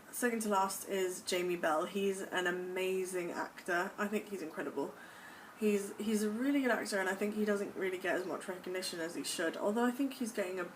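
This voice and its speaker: A young American woman talks to camera in a put-on British accent, gushing enthusiastically in a casual vlog. Her voice sits at an ordinary middle pitch. She sounds a little distant from the mic, with a faint hiss of background noise. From United States. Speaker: vlogger.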